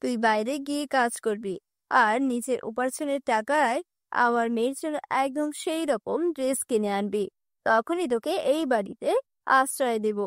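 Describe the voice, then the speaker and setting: A woman's voice: fairly high pitch, normal speed, no room sound, studio quality, voice pushed down, close to an everyday voice. A woman voicing a cruel middle-aged stepmother in a cartoon fairy tale, harsh and scolding. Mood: stern.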